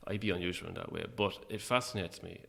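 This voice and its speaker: A man's voice: sits low, fairly even intonation, fast, creaky, bright, forceful tone. A man chatting casually as an interview guest about his own creative work, in a hesitant, reflective way. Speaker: playwright and actor, interview guest.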